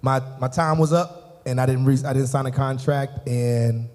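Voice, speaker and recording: A man's voice: medium pitch, steady tone, moderate pace, darkish tone. A Southern American man talks in a long, hall-like reverb. He is an easygoing, jovial interview guest who speaks casually. His answers ramble, circling back over the same phrases. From Columbia, South Carolina, US.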